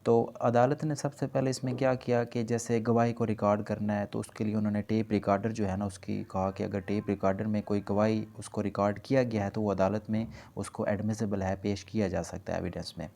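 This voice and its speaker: A man lectures informally in a lowish, mellow voice with a noticeable creak. He lays out each point step by step as a law teacher would, dropping English legal terms into his explanation. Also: little pitch movement, a little fast, hardly any pauses.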